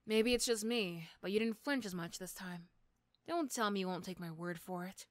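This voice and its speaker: A woman plays a female supervillain for an ASMR roleplay, threatening and teasing in the same breath. She is sardonic and begrudgingly fond, and the sound is dry and close, at a medium pitch. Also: normal speed, no echo, lightly acted. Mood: grudging, teasing.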